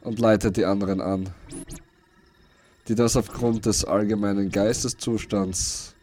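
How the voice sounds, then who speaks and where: A man reading scripted literary narration for a post-apocalyptic radio play, in deliberate, fragmented phrases that repeat words. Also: fairly low pitch, fairly even intonation, a little mellow, slight background noise.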